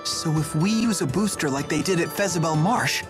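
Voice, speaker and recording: A man acts a keen young man from a game story. His voice sits a little high, plain-spoken and thoughtful, with an inquisitive manner. Light music plays behind. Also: moderate pace, natural voice. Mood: earnest, curious. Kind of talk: English game dub acting. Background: light background music.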